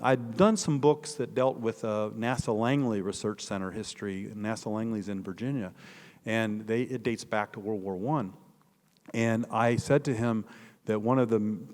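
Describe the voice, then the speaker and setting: Midwestern American man in an echoey hall. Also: medium pitch. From northeastern Indiana, United States. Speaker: author and biographer giving a talk. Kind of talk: conversational storytelling, answering an audience question. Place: large indoor hall, public talk. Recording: echoey hall with long reverb.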